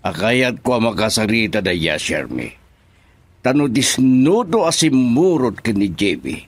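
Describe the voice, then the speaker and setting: An insistent, concerned fellow in a radio drama. His voice is fairly low and husky, with very lively swings in pitch. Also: a little slow, no echo, full character voice. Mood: concerned, urgent.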